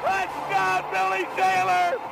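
A man calling football play-by-play with great excitement in an old broadcast clip with music. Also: extremely high pitch, slow, creaky, a loud crowd, very noisy. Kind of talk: excited sports commentary.

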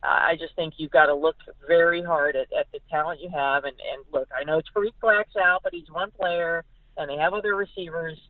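A woman's voice: low voice, steady tone, fairly quick, no echo. An American woman giving casual, emphatic sports analysis as a guest reporter, often repeating words for emphasis. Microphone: phone call. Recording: thin, narrow phone-line sound.

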